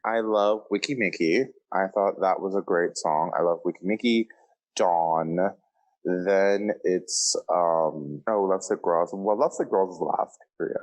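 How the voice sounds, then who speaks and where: A man's voice: deep voice, a little slow, rough recording. A man co-hosting a podcast in a casual, opinionated way, with campy, slangy talk. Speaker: podcast co-host.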